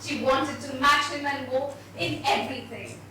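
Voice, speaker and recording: A woman's voice: high voice, fairly even intonation, normal speed. A woman giving a dramatised reading of a story on stage. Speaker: story reader. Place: echoey event hall with audience. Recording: very echoey hall, distant, noisy.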